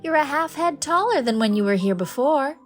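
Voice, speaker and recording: A woman's voice: high voice, lively intonation, a little fast, faint music behind. An actress playing a sweet, whimsical middle-aged lady in an audiobook drama, with effusive, playful, theatrical phrasing. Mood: delighted. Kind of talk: scripted audiobook drama acting.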